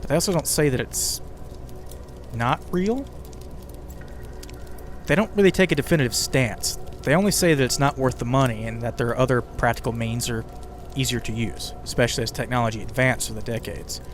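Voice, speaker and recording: A man's voice: medium pitch, a little fast. A man hosting a podcast with conversational scripted narration, slipping in casual asides to the listener. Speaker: podcast host and writer. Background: ambient music bed with crackling fire sounds.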